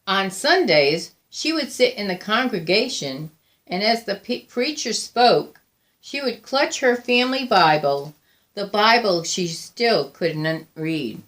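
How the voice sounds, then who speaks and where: A woman reading a picture book aloud as a storyteller, deliberate and expressive, with frequent pauses. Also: medium pitch. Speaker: volunteer storybook reader.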